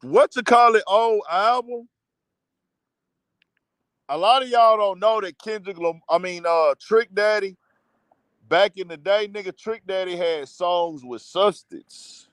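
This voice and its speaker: A man's voice: high voice, measured pace, a bit bright, clean tone, no echo. A man hosting a casual livestream commentary show, ranting bluntly and profanely. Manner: blunt, profane, heated rant.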